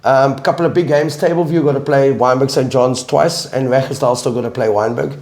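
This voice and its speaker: Brief: South African male co-host, croaky after flu, steady and measured, mid-pitched. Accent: South African English. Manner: reads results in a measured, steady way. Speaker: co-host, football coach. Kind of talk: casual sports chat.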